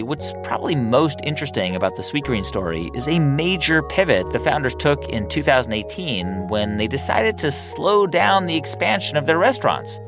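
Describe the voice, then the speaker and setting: Earnest male podcast host over music. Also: mid-range voice. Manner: earnest, reflective storytelling with emphatic rises. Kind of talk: scripted podcast narration and interview.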